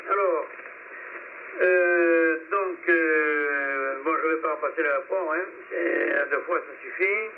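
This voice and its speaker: Male radio amateur, slightly high voice, rambling casual delivery, very narrow shortwave sound. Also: slow, a little hiss or hum. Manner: rambling, hesitant, self-correcting. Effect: radio transmission. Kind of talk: casual ham radio chat, giving signal reports.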